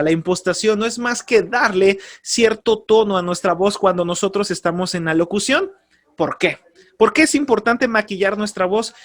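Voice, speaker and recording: A man teaching a lesson on radio announcing, speaking earnestly and calmly, giving advice straight to his listeners and repeating key terms. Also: high voice. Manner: earnest, advisory, addresses listeners directly. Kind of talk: spoken course lesson.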